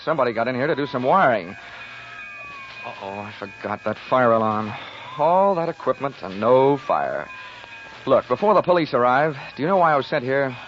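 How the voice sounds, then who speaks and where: Dull old radio sound: male actor as a hard-boiled investigator, dry, brisk, wisecracking, mid-pitched. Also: animated, slight background noise, close to an everyday voice. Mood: brisk, questioning. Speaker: lead actor of a radio detective serial. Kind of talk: 1940s radio detective acting and narration. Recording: dull 1940s radio recording with music.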